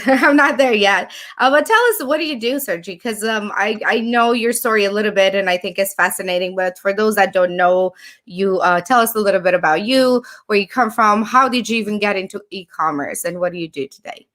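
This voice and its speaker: Woman, podcast host, chatty, mid-pitched voice, casual talk. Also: a little fast, a bit bright. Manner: chatty, laughs easily. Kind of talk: casual interview chat.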